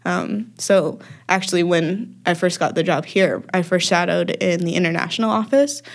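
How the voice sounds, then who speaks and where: A woman's voice: fairly low pitch, moderate pace, creaky. A young woman chatting casually as a podcast guest, with frequent ums and easy laughter. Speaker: podcast guest, music festival worker. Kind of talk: casual interview chat.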